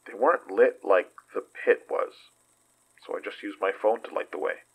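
An actor playing a weary investigator in a horror audio drama, husky and halting as he recounts danger. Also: fairly low pitch, low-quality recording. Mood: subdued.

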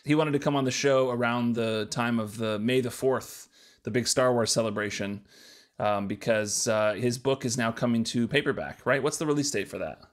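A man's voice: mid-range voice, normal speed. A man co-hosting a podcast, chatting in an informal, conversational way. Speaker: podcast co-host. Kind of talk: casual podcast chat.

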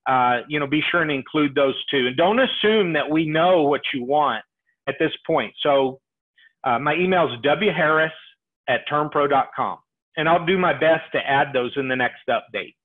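A man's voice: a little high, normal speed. Middle-aged man on tinny webinar line. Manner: explanatory, step by step. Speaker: webinar presenter demonstrating software. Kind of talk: live software tutorial and Q&A. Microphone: earpiece headset on a video call. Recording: tinny, phone-like webinar audio.

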